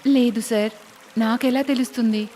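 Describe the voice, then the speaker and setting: A woman's voice: on the high side, steady tone, moderate pace, mellow, a little hiss or hum, lightly acted. A woman voicing a kind, earnest young female vegetable seller in a cartoon moral story, pleading and encouraging. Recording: long hall reverb, music underneath.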